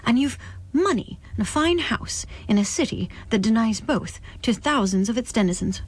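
A woman's voice: on the high side, lively intonation, moderate pace, breathy edge, no echo. A woman voicing a stern, cryptic otherworldly visitor in a dramatic book reading, solemn and commanding. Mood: stern reproach.

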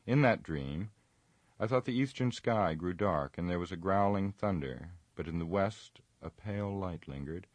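A proud, earnest warrior lord's son, read by a man. His voice sits very low and sounds close and dry. He tells his tale formally and gravely. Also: expressive, moderate pace, no echo. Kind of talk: audiobook reading.

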